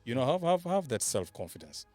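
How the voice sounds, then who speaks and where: A man's voice: medium pitch, big pitch swings, fairly quick, dark tone, no echo, soft background music. A man giving casual motivational talk on a podcast panel, emphatic and repeating phrases for effect.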